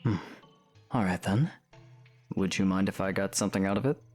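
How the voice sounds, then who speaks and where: Man playing a calm, understated young hero. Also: sits low, moderate pace, faint music behind. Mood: mildly defensive. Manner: understated, matter-of-fact. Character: calm, terse, protective young male hero. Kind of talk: fan audio drama acting.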